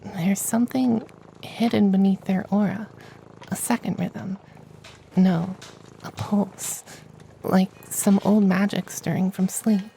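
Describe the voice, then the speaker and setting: A performer playing a hushed, creaky femboy witch. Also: medium pitch, flat delivery, slight background noise, low-quality recording. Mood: hushed, curious. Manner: hushed, partly whispered, slow and soothing. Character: tender, powerful femboy witch of a magical forest. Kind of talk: ASMR fantasy roleplay.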